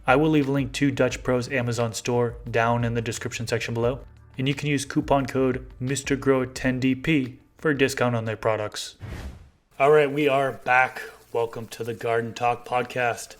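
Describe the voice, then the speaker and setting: A man in his thirties with a hoarse, slightly raspy voice hosts a casual podcast. He speaks into a studio mic on a boom arm at home, at a mid pitch, with faint music under him. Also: fairly even intonation, normal speed. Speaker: podcast host. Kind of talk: casual podcast chat and sponsor reads. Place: home room.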